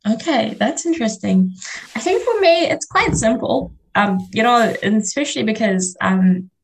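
A woman's voice: fairly high pitch, animated, moderate pace, creaky, rough recording. A woman whose first language is Fiji Hindi hosts a casual, reflective radio discussion, explaining her thoughts in loose steps. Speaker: radio show host.

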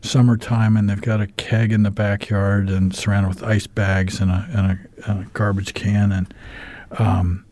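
A man in his fifties with an American accent, a guest on a radio show who tells his story in a rambling, halting way, full of ums and uhs, with wry humor. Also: on the low side, level tone, a little mellow, no echo. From United States. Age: 50s. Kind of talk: candid storytelling interview.

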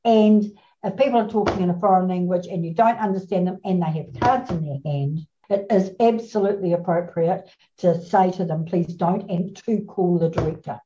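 A woman's voice: on the low side, warm tone, a little hiss or hum. New Zealand woman explaining bridge rules. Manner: measured, explanatory. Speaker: bridge expert guest. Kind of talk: casual radio chat. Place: radio studio. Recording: roomy, slightly dull studio sound.

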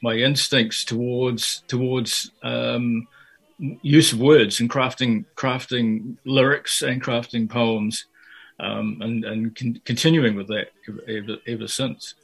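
A man's voice: mid-range voice, fairly even intonation, slow pace, vocal fry, a bit bright, breathy edge. An older man from Fiji and New Zealand, a poet answering in a radio interview, talking hesitantly with many fillers and restarts.

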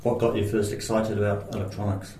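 A man's voice: fairly low pitch, monotone, a little fast, mellow. A man hosting a radio interview, welcoming his guest and asking short, friendly questions. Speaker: radio show host. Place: room at a polytechnic. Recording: roomy, distant mic.

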